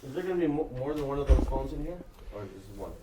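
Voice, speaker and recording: A man's voice: medium pitch, expressive, very fast. A man at work talking casually, asking practical questions during staff training. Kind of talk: casual workplace questions and discussion. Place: indoor room at a workplace. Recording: echoey, noisy room, distant from mic.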